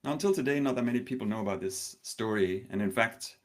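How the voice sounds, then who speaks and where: Danish-born man giving a conference talk. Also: on the low side. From Denmark. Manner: warm storytelling with pauses, slightly nervous. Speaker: conference speaker. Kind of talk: live online conference talk.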